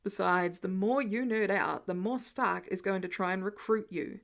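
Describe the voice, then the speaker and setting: A woman's voice: medium pitch, normal speed, gravelly, voice pushed down, natural voice. A woman playing a protective, long-suffering teenage boy in an audiobook, with a terse, dry way of talking. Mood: weary warning.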